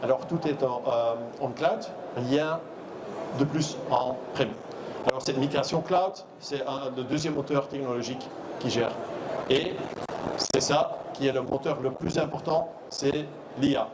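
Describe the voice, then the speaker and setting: A middle-aged man from Belgium, not a native French speaker, giving a halting trade show talk full of fillers and restarts. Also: medium pitch. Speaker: conference speaker. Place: trade fair hall. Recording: noisy trade fair hall.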